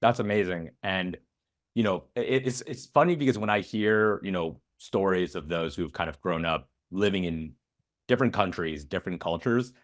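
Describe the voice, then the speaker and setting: A man's voice: low voice, moderate pace. A man from the United States hosting a podcast, reading a scripted intro and then asking questions with lots of hesitations. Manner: hesitant, many fillers and restarts in questions. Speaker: podcast host. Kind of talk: casual interview chat.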